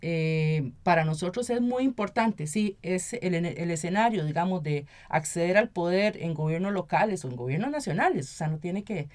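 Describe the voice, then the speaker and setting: A woman's voice: on the low side, expressive, moderate pace, hardly any pauses, darkish tone. A Costa Rican woman giving earnest, explanatory interview answers as an activist, often checking in with short tag questions. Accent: Costa Rican Spanish. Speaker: interview guest, environmental activist and municipal council member.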